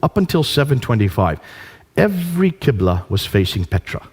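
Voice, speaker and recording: A man's voice: mid-range voice, sing-song, moderate pace, darkish tone, breathy edge. A man giving an illustrated lecture, speaking in an emphatic, declarative way and driving key points home by repetition. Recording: reverberant hall.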